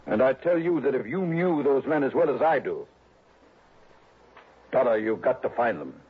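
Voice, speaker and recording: Casting: suspicious, pushy client, husky mid-pitched voice, thin phone-like vintage radio sound. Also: male voice, expressive, normal speed. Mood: insistent. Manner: emphatic, insistent. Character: agitated, insistent, suspicious male businessman client. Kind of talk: 1960s radio drama acting. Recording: tinny, narrow old radio sound.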